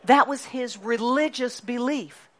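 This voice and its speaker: A middle-aged woman pastor preaching a sermon in a lively, chatty way, often asking the congregation questions. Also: on the high side, unhurried.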